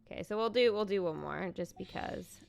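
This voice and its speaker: A woman hosting a live game stream in casual, excitable chat, thinking aloud as she goes. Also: medium pitch, a little fast, vocal fry, soft background music. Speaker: livestream host, gamer.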